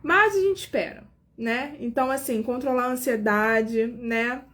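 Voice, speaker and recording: A woman's voice: fairly high pitch, unhurried, a little hiss or hum. A woman, hosting a casual live stream as a nurse and chatting directly with her viewers, sounding tired. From Brazil. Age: 40s. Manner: chatty, warmly addresses viewers directly, frequent fillers.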